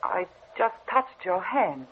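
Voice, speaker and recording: A woman's voice: mid-range voice, very animated, slow. An actress playing a tender, anxious young woman in love, in an old radio drama style. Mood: tender, concerned. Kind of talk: 1940s radio drama acting. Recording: tinny vintage radio, faint hiss.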